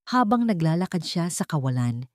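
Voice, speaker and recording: A woman's voice: fairly low pitch, big pitch swings, normal speed, darkish tone, no room sound, clean studio sound. A woman with a Filipino accent narrating a dramatic scripted story.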